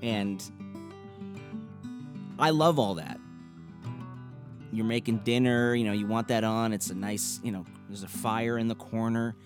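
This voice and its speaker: A man's voice: lowish voice, a little slow, long pauses, music in the background. A man hosting a podcast interview, talking loosely and thoughtfully with lots of "you know"s. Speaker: podcast host. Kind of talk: casual conversational interview.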